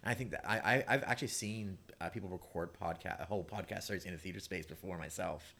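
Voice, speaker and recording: Deep, bright-voiced man chatting. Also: fast talker, vocal fry, slightly rough. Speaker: guest playwright. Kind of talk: casual panel chat.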